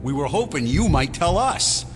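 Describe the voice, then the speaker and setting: An actor playing a nervous police deputy who hesitates and evades in an audio drama. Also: male voice, on the high side, big pitch swings, normal speed. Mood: sheepish. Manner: hesitant, stammering. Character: flustered, evasive male police deputy.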